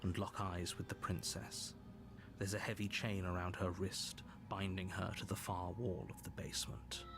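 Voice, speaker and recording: A stern game narrator with a lowish, measured voice, dry and commanding. Clear game music runs under every line. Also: male voice, level tone. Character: stern male narrator voice guiding the hero.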